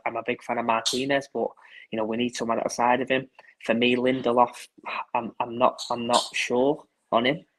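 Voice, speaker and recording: Male pundit, monotone, halting casual chat. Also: mid-range voice, rough recording. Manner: hesitant, repeats words. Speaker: guest pundit.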